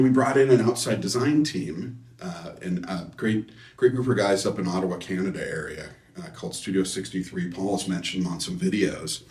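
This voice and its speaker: A man with a low voice talks through technical design in a casual interview. He explains haltingly, restarting phrases as he goes. The sound is roomy, picked up at some distance from the microphone. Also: a little mellow. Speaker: loudspeaker designer being interviewed. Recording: echoey room, distant microphone.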